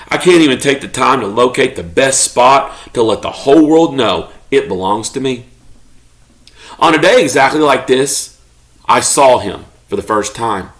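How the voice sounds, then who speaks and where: A man voicing a good-natured, grumbling pet beagle telling his own storybook tale in an expressive, mock-indignant way. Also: mid-range voice. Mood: wry, grumbling.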